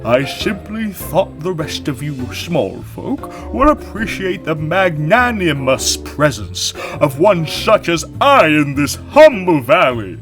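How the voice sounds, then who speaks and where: A man voicing a vain, pompous male lion who speaks in a grand, drawn-out, melodramatic way. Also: on the high side, big pitch swings, over music, pitched above the performer's own voice. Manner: grand, drawn-out, theatrical delivery.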